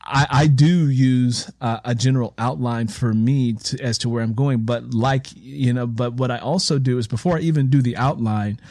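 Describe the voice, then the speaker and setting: Male interviewer, mid-range pitch, reflective and emphatic, repeating phrases for stress; conversational delivery, dry close sound. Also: normal speed, few pauses, darkish tone, dry recording. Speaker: interviewer and writer. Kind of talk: reflective conversational interview talk about writing.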